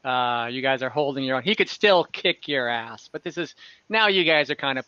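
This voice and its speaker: A man narrating an online tabletop game as game master, casual, halting and lightly amused, improvising as he goes. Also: medium pitch, animated, sharp tone, no echo. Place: at home indoors on a group video call. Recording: slightly dull video-call audio.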